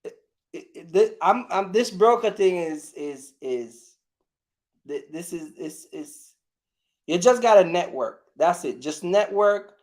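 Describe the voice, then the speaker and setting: A man hosting a casual live question-and-answer chat, speaking in a halting, repetitive way and often addressing his audience directly. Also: sits high, lively intonation, darkish tone. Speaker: livestream host.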